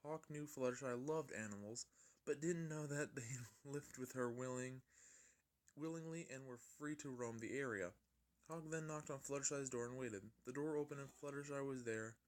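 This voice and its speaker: A man's voice: medium pitch, moderate pace. A man narrating a fan fiction story in an amateur style, often stumbling and rereading his lines.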